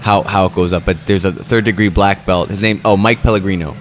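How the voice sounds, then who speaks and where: Brief: male podcast co-host, lowish voice, relaxed and explanatory, faint music underneath. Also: brisk. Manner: relaxed, explains at length, some restarts. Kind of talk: casual chat.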